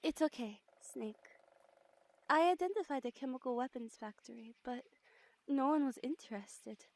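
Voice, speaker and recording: A woman's voice: sits high, expressive, normal speed, darkish tone. A woman playing an idealistic, compassionate young operative burdened by guilt in a radio drama, earnest and emotional. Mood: earnest, troubled. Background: background music.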